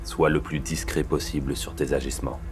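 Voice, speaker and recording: Man acting very deep-voiced, worried police boss. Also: flat delivery, a little fast, soft background music. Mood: worried, insistent. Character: grave, worried male police superior, secretive and guilty. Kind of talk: French audio thriller drama acting. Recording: clear background noise, vehicle-like rumble.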